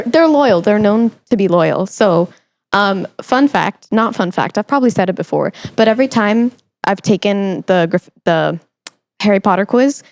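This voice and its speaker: A young adult woman hosting a casual interview chat, reacting in an animated, teasing way. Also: medium pitch. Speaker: podcast host.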